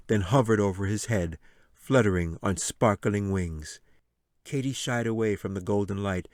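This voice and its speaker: Male audiobook narrator: deep pitch, solemn dramatic storytelling, measured delivery, dry acoustics. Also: no echo. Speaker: author reading his audiobook.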